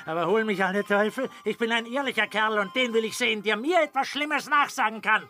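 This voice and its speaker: An actor playing a shifty, defensive, rough-spoken estate bailiff in a classical German radio comedy, blustering and muttering. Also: male voice, high voice, brisk, over music, close to an everyday voice.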